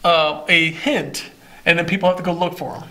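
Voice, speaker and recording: A man co-hosting a casual chat, talking haltingly with many restarts and fillers, and his own voice is squeaky. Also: fairly even intonation, pushed and bright. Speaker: podcast co-host.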